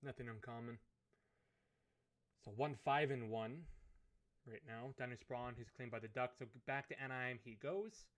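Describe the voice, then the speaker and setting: A man with a hoarse, fading voice, thinking aloud as he commentates a hockey management game. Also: medium pitch, fairly bright tone. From Canada. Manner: musing aloud, unhurried, thinking through choices. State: hoarse, losing his voice. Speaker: gaming commentator. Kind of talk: let's play commentary of a management sim.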